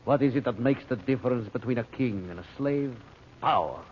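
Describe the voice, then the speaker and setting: A man's voice: mid-range voice, animated, a little fast, natural voice. Megalomaniac scientist villain male actor, radio serial: grandiose, cruel, declamatory. Dull, slightly noisy vintage sound. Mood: grandiose, scheming. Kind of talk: old-time radio serial acting. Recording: muffled old radio recording with noise.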